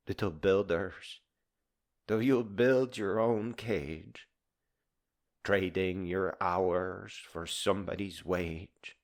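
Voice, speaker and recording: A man delivering a dramatized poetic monologue in a solemn, grandiloquent, theatrical manner. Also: low-pitched.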